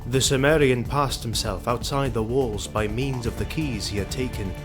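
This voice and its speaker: A man's voice: medium pitch, normal speed. A man narrating a fantasy audio drama in a scripted storytelling style. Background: background music.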